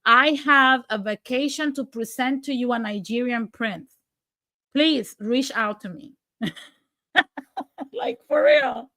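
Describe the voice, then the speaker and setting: Impassioned Puerto Rican woman, slightly high. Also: takes it slowly, long pauses, sharp tone. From Puerto Rico. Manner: impassioned and blunt, with sarcasm and occasional profanity. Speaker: livestream host. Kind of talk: political commentary, reading viewer comments.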